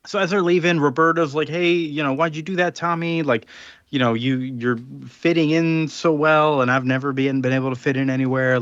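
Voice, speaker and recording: A man's voice: mid-range voice, a bit bright, dry recording, dull audio. A man casually recapping a comic book plot on a podcast, rambling with filler words and little laughs. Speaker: podcast co-host.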